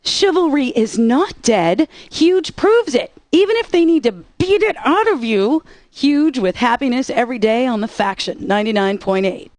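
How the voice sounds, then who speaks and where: A woman radio DJ with a bright, fairly high voice delivers sarcastic, wisecracking song intros. She is recorded close and dry on a studio mic. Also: lively intonation, moderate pace, no echo. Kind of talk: scripted radio DJ patter.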